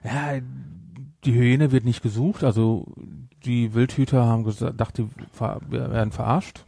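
A man's voice: medium pitch, steady tone, normal speed, gravelly, dark tone, no echo, studio quality. A man co-hosting a podcast in casual chat, emphatic and opinionated, repeating himself to press his point. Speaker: podcast co-host. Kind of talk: casual chat reviewing audio drama.

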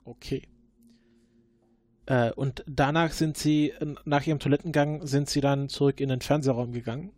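A man playing a formal time-travelling agent who questions a suspect in halting, filler-laden speech during an improvised roleplay. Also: mid-range voice, fairly even intonation, a little mellow, no echo, natural voice. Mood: probing. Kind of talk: improvised murder-mystery roleplay.

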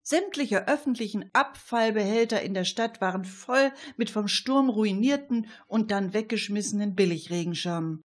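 A woman's voice: mid-range voice, normal speed, hardly any pauses, slight background noise. A woman narrating a scripted radio crime story.

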